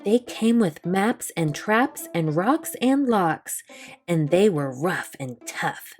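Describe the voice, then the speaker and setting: A woman reading a children's picture book aloud as a storyteller, with expressive delivery. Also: fairly low pitch, slow pace, soft background music.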